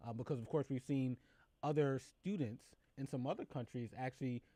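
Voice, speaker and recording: Male co-host, middle pitch, dry close mic, casual and animated, restarts when excited. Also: fairly quick, dry recording. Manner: animated, laughs often, stammers when excited. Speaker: show host. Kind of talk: casual news chat.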